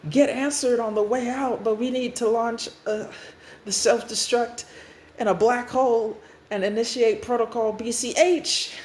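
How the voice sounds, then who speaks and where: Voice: medium pitch, unhurried, darkish tone, close to an everyday voice. A narrator voicing an exasperated, scornful commander who rants and stammers melodramatically in a comic story. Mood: urgent, alarmed. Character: exasperated, scornful, panicky commander of a doomed universe. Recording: echoey room, faint noise.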